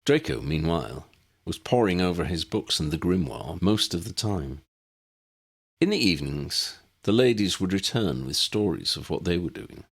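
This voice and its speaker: Low-pitched man narrating fiction, lively intonation. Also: normal speed, husky. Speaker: audiobook narrator.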